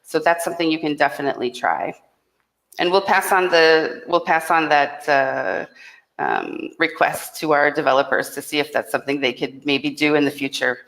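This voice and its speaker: A woman hosting a live Q&A and informally explaining a product, with a slightly halting delivery. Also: low-pitched, steady tone, vocal fry, fairly bright tone, low-quality recording. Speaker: host and company representative.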